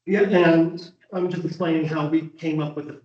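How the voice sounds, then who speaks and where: Man presenting in a flat, echoey chamber. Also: on the high side. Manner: measured, with frequent "uh" and "um". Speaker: planning board member presenting text amendments. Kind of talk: explaining at a public meeting. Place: city meeting room. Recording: echoey chamber, distant muffled mic.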